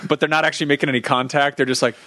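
A man's voice: on the high side, level tone, rapid-fire, bright, forceful tone, no echo. A thirty-year-old man bantering casually as a comedy podcast co-host, riffing with plenty of swearing. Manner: profane, riffing.

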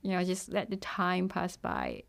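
A woman's voice: fairly low pitch, monotone, measured pace, gravelly. A young woman from Singapore, chatting as an interview guest and filling her talk with "like" and "you know". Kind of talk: casual conversational interview.

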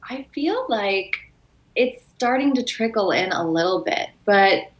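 A woman's voice: mid-range voice, animated, measured pace. A middle-aged woman, a mother of a teenager, speaking earnestly and emphatically as a podcast panel guest. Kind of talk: casual podcast panel talk. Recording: echoey room.

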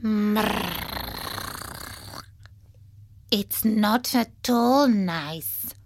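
A woman voicing an indignant, abandoned cat with a drawn-out, complaining manner. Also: mid-range voice, background noise. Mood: aggrieved.

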